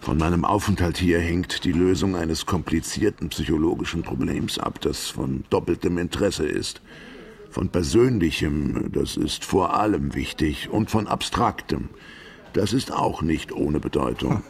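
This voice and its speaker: An actor in a literary radio drama playing a world-weary, fatalistic writer who speaks in a deliberate, formal, philosophical way with a gravelly, husky voice. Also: male voice, deep voice. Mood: cold, resolute. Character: world-weary, fatalistic male writer, once left for dead, brooding and enigmatic.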